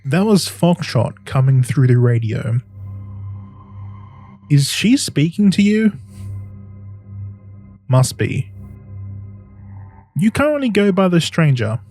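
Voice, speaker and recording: A man's voice: mid-range voice, darkish tone. Male audio drama narrator, music underneath. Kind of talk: second-person dramatic scripted narration.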